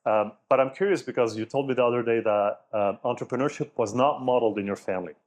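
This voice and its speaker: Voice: mid-range voice, fast talker, a little mellow. A podcast host introducing the show and asking a guest friendly questions. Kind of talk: interview hosting.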